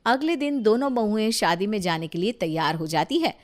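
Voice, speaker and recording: A woman's voice: mid-range voice, expressive, brisk. A woman narrating a scripted moral story as its storyteller.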